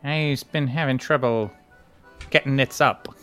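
Skeptical old-cartoon sailor, played by a man, muttering and grumbling at middle pitch over music. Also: a little slow, a bit bright, close to an everyday voice. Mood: reluctant. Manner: muttering, grumbling, garbled folksy grammar.

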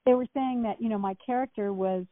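Chatty actress on a tinny line. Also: female voice, on the high side, brisk, no echo. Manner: chatty, rambling, many fillers and restarts. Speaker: actress guest. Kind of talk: casual interview talk.

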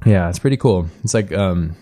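A man's voice: low voice, moderate pace, warm tone. A man hosting a casual interview, rambling a little as he searches for words. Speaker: podcast host and interviewer.

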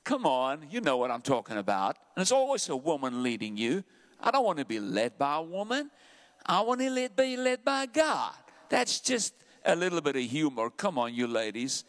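A South African man preaching a sermon to his church, dramatic, dropping to hushed asides and then climbing loudly. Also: on the high side, wildly swooping pitch, moderate pace. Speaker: pastor.

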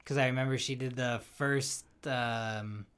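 A man's voice: medium pitch, steady tone, a little slow, fairly bright tone, smooth. A young man co-hosting a podcast, chatting casually and rambling, with many "likes" and restarts. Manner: rambling, heavy use of "like", frequent restarts. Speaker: podcast co-host. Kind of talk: casual chat.

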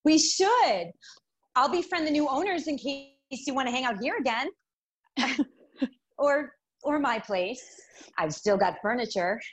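Supportive best friend for radio drama, woman actor, candid, animated intonation, slow delivery. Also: high-pitched, husky, heavily acted. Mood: playful, fond. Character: Angela, frank, supportive longtime best friend.

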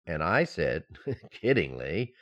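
An actor playing a flustered, easily shocked husband and father-to-be in a radio sitcom, excitable and dithering. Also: low voice, slow, highs cut off, exaggerated voice. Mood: fretful. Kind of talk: old-time radio sitcom acting.

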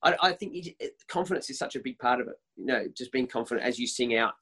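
A man's voice: animated, fast, a bit bright, slightly rough. Man in his thirties, eager and chatty. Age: 30s. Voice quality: higher voice. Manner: enthusiastic, many restarts and repeated words. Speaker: worship leader. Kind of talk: casual podcast interview.

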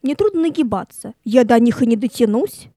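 A woman's voice: fairly high pitch, very animated, fairly quick, dark tone, dry recording, clean studio sound. A woman playing a tall, stiff, prim tree making excuses in an audio play. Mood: evasive.

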